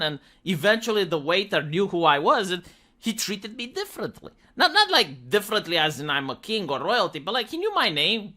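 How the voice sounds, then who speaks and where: An opinionated host delivers casual commentary with emphatic, exasperated energy. The voice is bright and forceful, and the pitch moves around in a very lively way as each argument is pressed.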